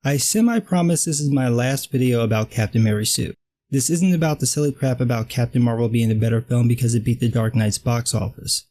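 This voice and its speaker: A man's voice: medium pitch, brisk, warm tone. A man with a dry voice delivering a sarcastic, blunt scripted film commentary. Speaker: film critic vlogger.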